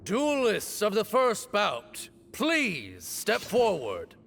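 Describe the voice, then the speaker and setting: A man's voice: high-pitched, wildly swooping pitch, very slow, creaky, a bit bright, a little hiss or hum, exaggerated voice. A man playing a stern, formal duel arbiter in a video game, speaking in a ceremonial, commanding manner.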